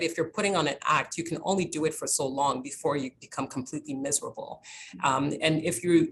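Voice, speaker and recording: A woman's voice: deep voice, a little hiss or hum, low-quality recording. A woman panelist on a video call, speaking fluently and reflectively. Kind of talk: panel discussion.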